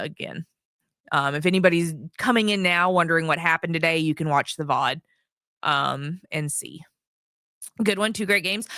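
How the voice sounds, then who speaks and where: A woman streamer chatting casually to her viewers, her voice hoarse from yelling, rambling with lots of ums and restarts. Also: on the low side, no echo, studio quality. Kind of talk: casual livestream chat.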